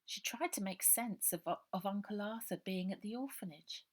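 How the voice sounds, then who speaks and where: A woman with an English accent narrates a children's novel. She reads scripted prose as a storyteller, at a middling pitch for a woman. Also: normal speed. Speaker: author reading her own children's novel.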